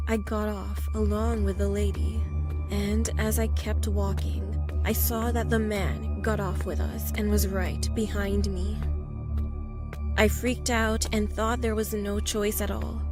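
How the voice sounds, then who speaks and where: A woman reading a scripted first-person scary story as a narrator. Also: medium pitch. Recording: loud music bed behind voice. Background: music.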